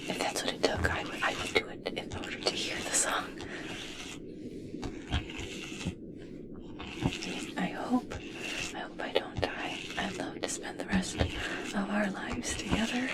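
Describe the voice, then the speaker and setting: Woman playing infatuated female scientist, ASMR roleplay. Breathy whisper, unhurried, halting, tenderly fixated. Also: mid-range voice. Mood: nervous devotion. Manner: slow, gentle, halting, fixated. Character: obsessed, adoring female scientist studying a captive merperson.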